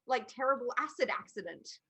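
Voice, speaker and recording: A woman's voice: fairly high pitch, lively intonation, a little fast, creaky, bright, forceful tone, husky. A woman on a podcast panel, talking casually and giving her opinions in an emphatic, insistent way.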